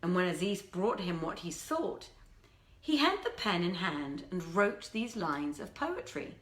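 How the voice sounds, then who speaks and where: Bright-voiced woman reading in an echoey room. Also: lowish voice, expressive, measured pace. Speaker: storyteller, reader. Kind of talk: dramatic live reading of classic tales. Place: indoors in a theatre, live stream. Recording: roomy, echoing theatre sound.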